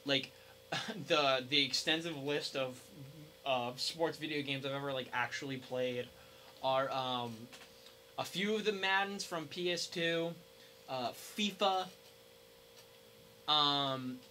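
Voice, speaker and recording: A man's voice: medium pitch, takes it slowly, pauses often, pushed and bright, a little hiss or hum, rough recording. A young man co-hosting a podcast, chatting casually in a rambling, informal way. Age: young adult. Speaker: podcast co-host. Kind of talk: casual chat.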